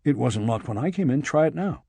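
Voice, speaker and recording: A man voicing a sharp, exasperated female federal agent in an audiobook, scolding and sarcastic. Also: medium pitch, lively intonation, brisk, gravelly, breathy edge, no echo. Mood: dismissive. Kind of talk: audiobook character dialogue.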